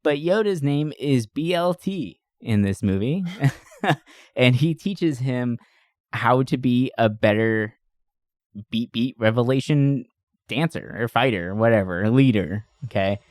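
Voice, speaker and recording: A man's voice: medium pitch, lively intonation, unhurried, a little mellow, no echo, studio quality. A man hosting a podcast, chatting casually about films in a rambling, profanity-laden way. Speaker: podcast host. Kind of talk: casual movie discussion.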